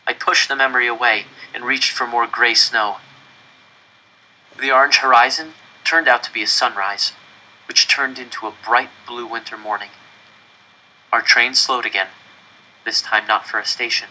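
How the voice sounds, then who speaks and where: A man reading a story aloud in the first person, expressive and measured with dramatic pauses. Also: mid-range voice, poor audio. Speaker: audiobook reader.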